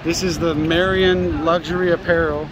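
A man from Louisiana filming a casual walk through dark city streets, joking and reassuring the others. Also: fairly high pitch, little pitch movement, normal speed. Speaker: vlogger filming a night walk. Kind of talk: casual chat while walking. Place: city streets at night, outdoors. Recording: noisy outdoor street recording.

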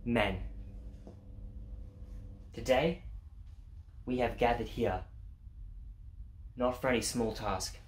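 A man's voice: medium pitch, slow pace, pauses often, echoey room, close to an everyday voice. A young man acting a self-important, melodramatic guy in an amateur comedy sketch, with a pompous, theatrical delivery. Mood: grandiose. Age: young adult. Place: house porch and living room. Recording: noisy amateur camera sound.

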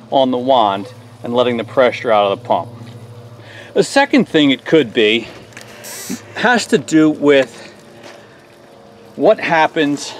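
A man's voice: mid-range voice, a little hiss or hum. A man in his forties hosting a hands-on small engine repair tutorial outdoors on a lawn, explaining each step in a plain, folksy way.

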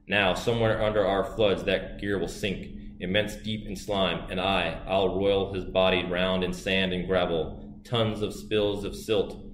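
Guy doing a booming river god, big and boastful, loud and roomy. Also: close to an everyday voice. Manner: booming, then pleading and desperate. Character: furious male river god, vast and boastful. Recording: roomy with faint hiss.

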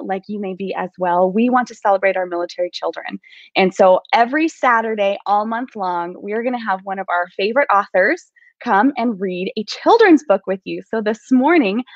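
A woman's voice: mid-range voice, no echo. A woman in her thirties hosting and introducing an online event from home on a video call. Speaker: host, community co-owner. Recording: dull, dry video-call audio.

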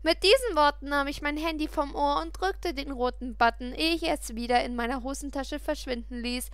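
Bright, high-voiced woman reading a story. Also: normal speed, no echo. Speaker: audiobook narrator. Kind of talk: expressive first-person story reading.

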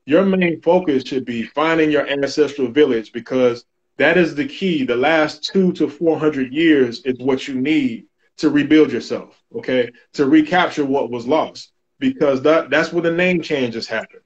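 A man in his forties explaining family history on a video call, steady and instructive, often checking in with "okay?". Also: mid-range voice, a little slow, highs cut off. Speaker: genealogy guest. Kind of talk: explaining in an interview. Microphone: webcam on video call.

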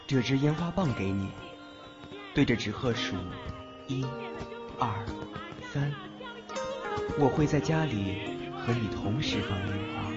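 A man's voice: mid-range voice, expressive, measured pace, pauses often, natural voice. A young man playing a caring, playful, coaxing youth in an audio drama. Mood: tender, playful. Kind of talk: Chinese audio drama acting. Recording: dull lo-fi audio with music.

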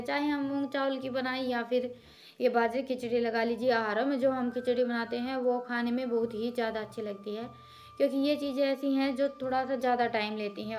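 A Haryana village woman in her thirties talks viewers through her cooking and household chores in a warm, homely voice-over. Her voice sits a little high, and she explains each step patiently. Faint background music plays beneath her. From Haryana, India.

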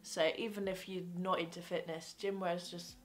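A woman's voice: fairly low pitch, level tone, moderate pace. A young woman in her twenties chatting casually to camera in a gift-guide vlog, bubbly and rambling, often restarting her sentences, recorded in a small bedroom at desk distance from the camera. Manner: bubbly, rambling, self-correcting. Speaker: fitness vlogger. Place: small bedroom with fairy-light backdrop.

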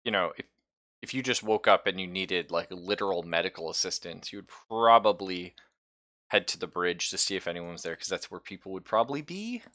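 A man's voice: deep voice, lively intonation, a little fast, pushed and bright. A man casually narrating an online tabletop game as game master, in a joking, loose way.

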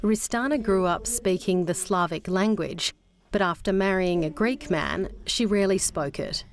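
A woman reporter from Western Australia narrating a news documentary in a measured way. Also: medium pitch. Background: soft music.

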